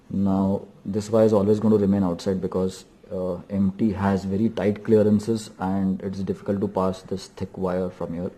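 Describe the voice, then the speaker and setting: A man's voice: sits low, warm tone. A man giving an unscripted hands-on tutorial, explaining step by step and repeating instructions for emphasis. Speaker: DIY vlogger.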